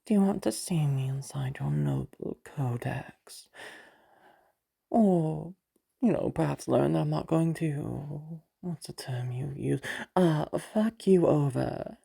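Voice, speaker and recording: Husky, low demon seductress played by a woman. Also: warm tone, full character voice. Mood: sly, coaxing. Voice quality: husky, creaky, breathy voice dropping into whispers. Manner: slow, teasing, halting delivery with pauses. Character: teasing, bargaining female demon of lust, sly and patient. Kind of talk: roleplay audio acting.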